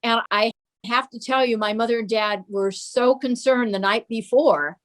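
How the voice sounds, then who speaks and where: A woman's voice: a little high, moderate pace. A mature woman speaking as an interview guest, telling fond family recollections in a casual storytelling style.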